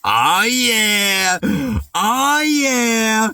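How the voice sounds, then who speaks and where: A young man chatting casually as he reacts to music, rambling through his thoughts with plenty of filler. His pitch leaps up and drops down all the time. His voice sounds a little worn, and the recording is dry and close with no room sound. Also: extremely slow, no echo. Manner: rambling and excitable, full of "dude" and "like"; loud sudden outbursts when hyped. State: voice worn from singing practice.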